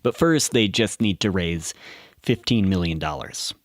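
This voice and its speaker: A man narrating a scripted documentary podcast in a measured, storytelling way. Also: low-pitched, expressive, dry recording, clean studio sound.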